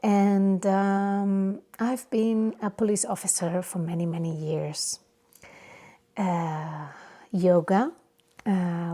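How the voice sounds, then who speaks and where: A woman's voice: fairly low pitch, warm tone. A woman from Spain with a Spanish accent, a non-native English speaker, hosting a personal podcast and talking unscripted, often searching for words. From Barcelona area, Spain. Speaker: podcast host. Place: home or private studio.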